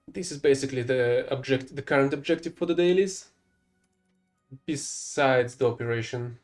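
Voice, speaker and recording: A man's voice: mid-range voice, darkish tone. Man giving casual game commentary, non-native English. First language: not English. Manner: rambling, self-correcting, mildly exasperated. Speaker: gameplay streamer.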